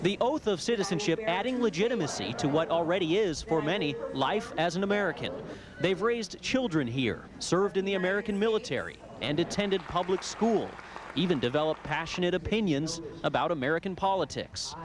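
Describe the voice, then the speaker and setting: A man narrating a television news report in a measured reporter delivery. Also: high voice, expressive, a crowd in the background.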